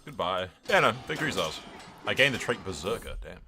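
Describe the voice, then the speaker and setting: A man's voice: low voice, very animated, a little fast, background noise. A man giving casual, informal commentary on a game playthrough, with wry asides. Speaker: host of a gaming channel.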